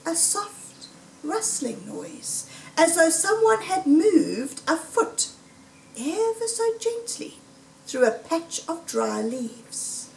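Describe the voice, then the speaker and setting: A woman reading a children's story aloud in a deliberate, dramatic, suspenseful storytelling manner. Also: extremely high pitch, gravelly. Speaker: storybook reader. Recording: roomy echo, distant microphone.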